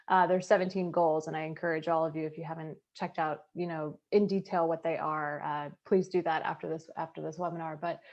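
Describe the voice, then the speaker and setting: A woman with a slightly low voice, speaking over a video call in a webinar. She is a nonprofit co-founder who talks earnestly and keeps tagging her points with "right?". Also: lo-fi sound. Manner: earnest, tag questions like "right?". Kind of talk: webinar conversation.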